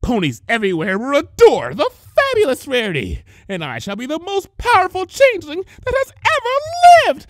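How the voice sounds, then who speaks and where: A man's voice: very high-pitched, huge pitch swings, normal speed, hardly any pauses, no echo, studio quality, voice pushed up, heavily acted. A man voicing a vain, gloating female pony changeling villain in a theatrical, grandiose way for a story reading.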